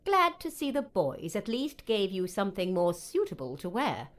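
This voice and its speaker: A woman's voice: mid-range voice, wildly swooping pitch, normal speed. A woman playing a motherly old tailor in an audiobook, fussing and chiding but caring. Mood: warm, welcoming. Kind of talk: dramatised audiobook character dialogue.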